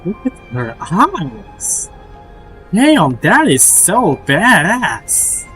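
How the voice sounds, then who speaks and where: Slow-talking male streamer reacting to a game. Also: high voice, huge pitch swings, sharp tone, low-quality recording. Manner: halting, repeats himself, slangy. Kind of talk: casual live game reaction commentary. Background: game music and sound.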